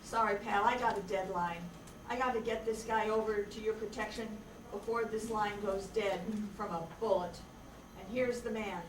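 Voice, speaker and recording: A woman's voice: mid-range voice, steady tone, normal speed, lightly acted. A woman playing a brash, bossy hard-boiled detective with wry slang in an amateur radio play. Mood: urgent. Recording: far, echoey room with clear noise.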